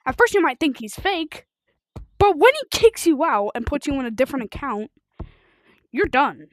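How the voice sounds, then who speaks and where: A woman chatting casually and rambling as a livestreamer playing a video game, telling stories in an informal, excitable way. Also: high-pitched, normal speed, no room sound, studio quality. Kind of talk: rambling casual livestream chat while gaming.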